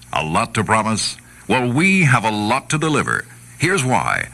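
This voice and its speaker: A man's voice: medium pitch, pushed and bright. A man reading a 1960s radio commercial as an announcer, with a polished, persuasive sales delivery. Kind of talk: 1960s radio advertising read.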